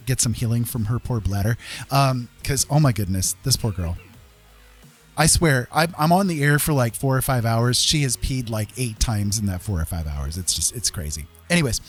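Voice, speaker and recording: A man's voice: mid-range voice, husky. A middle-aged man chatting casually as a livestream host, rambling and emphatic, often repeating his phrases.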